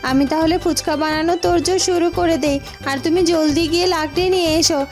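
Woman voicing an eager, very high-pitched village girl. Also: steady tone, quick pace, faint music behind. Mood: eager, chirpy. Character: eager young village girl running a street-food stall. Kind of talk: Bengali fairy-tale cartoon voice acting.

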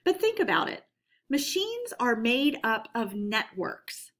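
A woman's voice: a little high, very animated, takes it slowly, fairly bright tone. A woman teacher giving a read-aloud lesson for children in an instructional manner, addressing her pupils directly.

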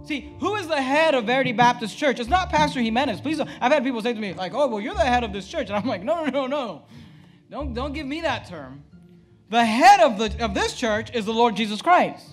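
A man in his thirties preaching an emphatic sermon at a church pulpit, firing off rhetorical questions and swinging between loud and hushed. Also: very high-pitched, expressive, a little fast, soft background music. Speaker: pastor. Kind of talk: fiery sermon. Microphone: podium mic.

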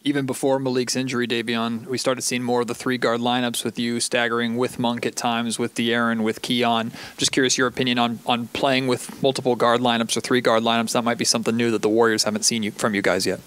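A man's voice: medium pitch, little pitch movement, a little fast, few pauses, a bit bright, clear, resonant tone. A male reporter asking a precise, curious question, heard from a distance.

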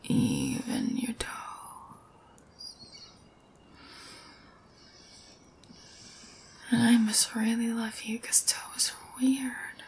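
A woman playing a tender, adoring girlfriend in an ASMR roleplay, whispering softly and breathily with slow, intimate delivery. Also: a little high, wildly swooping pitch, full character voice. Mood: loving. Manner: slow, intimate, lingering delivery with soft hums. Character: tender, adoring girlfriend reassuring her partner.

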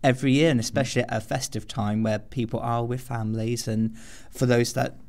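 A man's voice: medium pitch, level tone, fairly quick, a little mellow. A male student giving earnest, considered answers as an interview guest.

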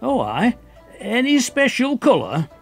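A man playing a droll, grumbling Northern English working-class husband in a radio play, with a husky, dry delivery. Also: extremely high pitch, huge pitch swings, unhurried, faint music behind, full character voice.

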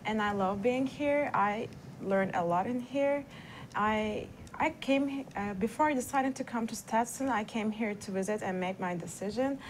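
A woman's voice: medium pitch, normal speed, a little hiss or hum, low-quality recording. A young woman from Turkey, an international law student speaking earnestly as a panel guest.

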